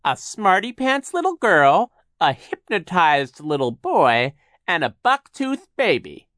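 Man doing a ridiculously high falsetto fake lady receptionist. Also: wildly swooping pitch, bright, forceful tone, dry recording, clean studio sound, heavily acted. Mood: gloating, sugary. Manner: mock-sweet, drawn-out, theatrical. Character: evil villain posing as a foolish, sugary female receptionist.

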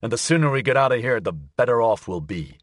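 Male narrator as private detective, brisk, placating, wry, animated intonation, dry close sound, audiobook. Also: mid-range voice, no echo, lightly acted. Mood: urgent. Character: smooth-talking, practical male private detective. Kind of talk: audiobook character acting.

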